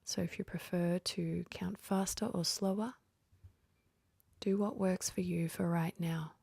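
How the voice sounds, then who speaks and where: A woman leading a guided sleep meditation in a gentle, whispery, half-voiced delivery, leaving long pauses between phrases. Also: lowish voice, fairly even intonation, gravelly, slightly rough, no echo.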